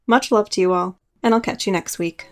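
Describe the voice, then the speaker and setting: A woman's voice: medium pitch, brisk, dry recording, studio quality. A woman hosting a podcast, telling personal stories from a script with casual, conversational asides. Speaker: podcast host.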